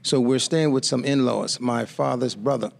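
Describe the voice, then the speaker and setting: A man in his fifties from the Bahamas, telling his life story as a guest author in a measured, reflective way. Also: medium pitch, creaky, darkish tone.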